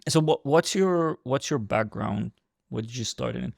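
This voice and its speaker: Host, man, thirties, mid-pitched and gravelly, easygoing with quick laughs, dry close sound. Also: expressive, darkish tone, no echo. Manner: friendly, laughs often, asks open questions. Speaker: podcast host. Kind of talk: casual interview chat.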